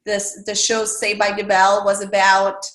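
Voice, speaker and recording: A middle-aged woman, Spanish her first language, speaks as an interview guest. She shares her story earnestly and reflectively, pausing often. Her voice carries a noticeable roomy echo. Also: medium pitch, completely flat delivery, fairly bright tone. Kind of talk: casual interview conversation.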